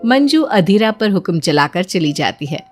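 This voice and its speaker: A woman's voice: on the low side, very animated, normal speed, faint music behind. A woman storyteller reading scripted narration for a moral bedtime story.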